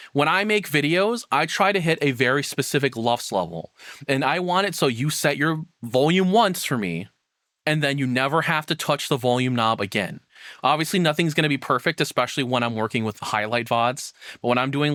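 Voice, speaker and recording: A man's voice: mid-range voice, fairly bright tone, dry recording, clean studio sound. A man giving casual, rambling, opinionated livestream commentary with some swearing. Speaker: streamer reacting to a video.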